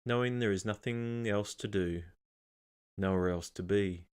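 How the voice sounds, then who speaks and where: Low-voiced man guiding a meditation. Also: clean tone. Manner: calm, measured, unhurried instruction with pauses. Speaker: meditation guide. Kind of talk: guided meditation narration.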